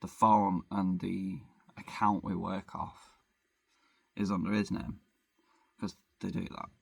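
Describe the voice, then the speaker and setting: A man's voice: low voice, flat delivery, takes it slowly, gravelly, a little mellow, slightly rough. A young man, rambling in a casual van-life vlog, swearing freely and joking about himself. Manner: rambling, swears freely, self-deprecating jokes. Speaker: vlogger. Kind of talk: casual chat. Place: living in a van.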